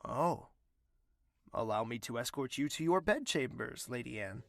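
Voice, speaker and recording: An actor playing a courtly young prince in an audio drama, formal and courteous but stubbornly persistent. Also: male voice, mid-range voice, expressive, moderate pace, gravelly, fairly bright tone, no room sound.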